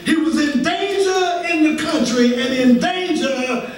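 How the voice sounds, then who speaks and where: An American man preaching a Baptist sermon as a minister, with an emphatic delivery that moves between shouting and half-singing and leans on repeated refrains. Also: extremely high pitch, a little slow. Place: large church sanctuary, at the pulpit. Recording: echoey church hall from far off, congregation noise.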